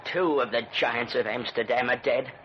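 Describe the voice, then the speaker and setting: A man's voice: mid-range voice, normal speed, natural voice. A man acting an anxious, commanding older businessman haunted by guilt in an old-time radio drama. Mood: fearful, fixated. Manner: agitated, commanding, urgent. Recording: muffled, noisy vintage radio recording.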